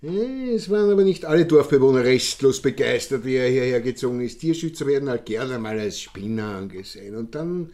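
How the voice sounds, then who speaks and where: An actor playing a genial, self-satisfied count with an Austrian accent, courtly, chummy and long-winded in a radio drama. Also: male voice, medium pitch, expressive, fairly quick, few pauses, darkish tone, lightly acted. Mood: smooth, evasive. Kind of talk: German-language radio drama acting.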